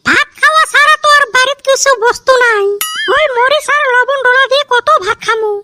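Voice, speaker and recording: A woman's voice: very high voice, a little fast, background noise. A woman voicing a quarrelsome, aggrieved village wife in a comedy cartoon, scolding in a northern Bangladeshi Rangpuri accent. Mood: nagging, aggrieved. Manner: scolding, complaining. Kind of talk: comedy cartoon voice acting in rural dialect.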